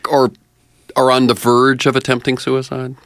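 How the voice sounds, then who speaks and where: Man, 60s, medium pitch, dry recording, conversational interviewer, searching phrasing. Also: monotone, normal speed, fairly bright tone, dry recording.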